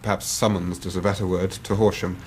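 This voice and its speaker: An actor playing an earnest, troubled young English gentleman, narrating in a measured, formal way for a radio drama. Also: deep voice, flat delivery, slight background noise, close to an everyday voice. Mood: troubled, reflective. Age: young adult.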